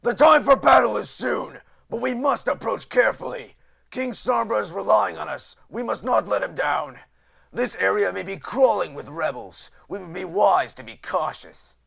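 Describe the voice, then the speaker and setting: A man voicing a gruff, zealous pony army commander in a storybook reading, rough and strained, barking in a commanding military manner. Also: sits high, expressive, moderate pace, a bit bright, dry recording, clean studio sound, pitched above the performer's own voice, full character voice. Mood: stern, commanding. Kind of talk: audiobook character voice, military storybook.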